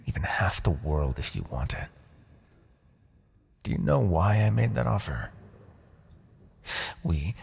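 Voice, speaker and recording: Man voicing a gravelly, imperious demon king. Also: low voice, full character voice. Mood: coaxing, intimate. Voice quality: gravelly, husky. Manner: theatrical, deliberate, imperious, with dramatic pauses. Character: proud vengeful demon king, grandiose, coaxing, menacing. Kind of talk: fantasy ASMR roleplay monologue.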